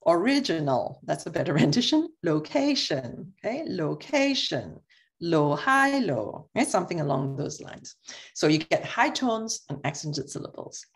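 Brisk middle-aged woman lecturing on a call. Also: fairly low pitch. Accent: Singapore English. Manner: brisk, checks in with "okay?" often. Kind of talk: online academic lecture.

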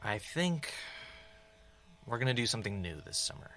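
A man's voice: mid-range voice, sing-song, normal speed, sharp tone, no room sound. An actor playing a joking, evasive male podcaster in naturalistic, bantering audio drama dialogue.

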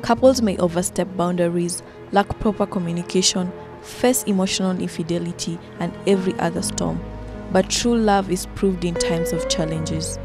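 A woman reading a scripted introduction in a slow, measured way. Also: fairly low pitch, steady tone. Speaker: narrator. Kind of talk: scripted narration. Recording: voice-over with music under it.

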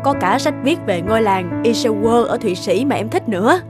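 An actress playing a gentle, lonely elderly wife and mother in an animated drama, hesitant and caring. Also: fairly high pitch, fairly quick, over music, close to an everyday voice. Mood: eager, caring.

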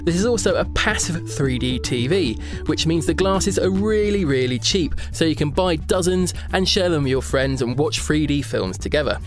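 A man's voice: a little high, lively intonation, normal speed, few pauses. Upbeat man narrating over music. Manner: upbeat, enthusiastic product rundown. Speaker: tech show presenter.

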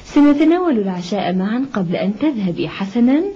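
A woman's voice: mid-range voice, lively intonation, a little fast, mellow, husky. A woman playing a kindly, caring mistress of a household in a cartoon dub, with a motherly manner. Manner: kind, motherly. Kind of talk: Arabic cartoon dub acting. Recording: dull old cartoon soundtrack.

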